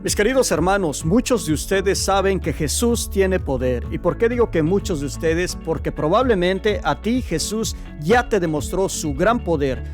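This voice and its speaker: A man's voice: high-pitched, expressive, normal speed, few pauses. Preaching man with music behind. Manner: exhorting, addresses listener directly. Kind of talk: preaching introduction and interview.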